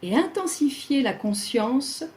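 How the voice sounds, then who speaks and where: Slow, mellow woman guiding deep relaxation. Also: sing-song. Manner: soothing, measured, with long pauses between phrases. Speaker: yoga nidra instructor. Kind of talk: guided relaxation meditation, scripted instruction.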